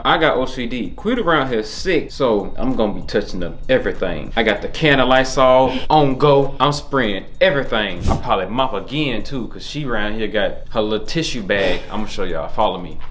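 A man's voice: mid-range voice, brisk, hardly any pauses, noisy background. A young man talking casually to the camera while dusting around his home, joking as he goes. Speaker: vlogger. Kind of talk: casual vlog talk while cleaning. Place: bright home rooms.

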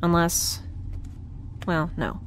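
Actress as quirky chatty woman, anxiously upbeat, lively pitch, wry rambling, space audio drama, faint ambience. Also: very slow, slight background noise. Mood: anxious, self-reassuring. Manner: rambling, wry, self-reassuring. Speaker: lead character. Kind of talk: naturalistic audio drama acting, talking to herself.

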